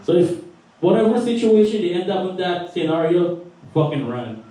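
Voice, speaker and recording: A young Filipino-accented man performs loose, profane stand-up in a small record store, often laughing along with his own punchlines. His voice sits high for a man and lands from a distance. A heavy roomy echo hangs on every word. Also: very animated, moderate pace, dark tone. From Philippines. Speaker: stand-up comedian. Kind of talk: stand-up set.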